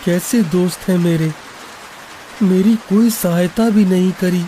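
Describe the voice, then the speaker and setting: A man's voice: high voice, fairly even intonation, measured pace, dark tone, a little hiss or hum, poor audio. A man voicing an anxious, pleading young schoolboy in a storybook horror tale, with an earnest delivery. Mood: dejected. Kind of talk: animated story dubbing in Hindi.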